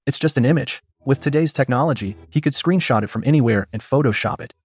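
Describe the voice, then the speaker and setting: A man's voice: medium pitch, quick pace, a little mellow, husky, natural voice. A man playing a scheming, bullying middle-aged company manager in a melodrama, scornful and blustering. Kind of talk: dubbed melodrama acting.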